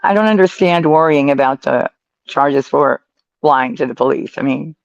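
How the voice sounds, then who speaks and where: Low, creaky woman co-host. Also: normal speed, no echo, lo-fi sound. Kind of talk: casual chat, agreeing commentary.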